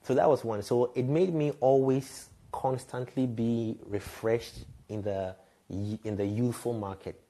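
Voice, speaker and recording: A man's voice: mid-range voice, steady tone, unhurried, warm tone. A man, a radio and TV presenter telling frank, reflective stories as a podcast guest. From Ghana. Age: 30s. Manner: reflective, frank, laughs lightly at himself. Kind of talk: candid conversational storytelling.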